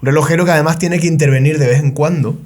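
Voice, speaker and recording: A man's voice: a little high, steady tone, racing, darkish tone. A young man, co-hosting a casual conversation and explaining ideas at length with joking, self-deprecating asides. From Canary Islands. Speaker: podcast co-host.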